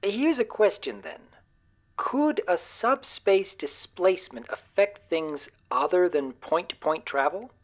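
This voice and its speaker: Woman playing a brisk mission lead asking probing questions, lowish lively voice, bit muffled recording. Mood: curious. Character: inquisitive, businesslike mission team leader. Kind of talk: audio drama acting.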